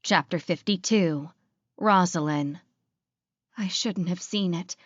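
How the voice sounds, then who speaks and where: A woman's voice: fairly low pitch, slow, no echo, dull audio. A woman reading first-person fiction as a scripted audiobook narration.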